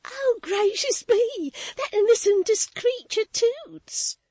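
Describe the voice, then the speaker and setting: A woman voicing an excitable young maid who talks volubly between sobs and laughs. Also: slow pace, no echo, exaggerated voice. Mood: hysterical. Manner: shrill, sobbing, gushing.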